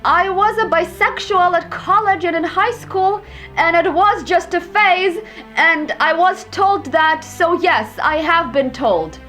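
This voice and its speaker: A woman's voice: very high voice, takes it slowly, a bit bright, soft background music. A young woman vlogging as she rants sarcastically and profanely through online quizzes. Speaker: YouTuber. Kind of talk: reacting to online quizzes to camera.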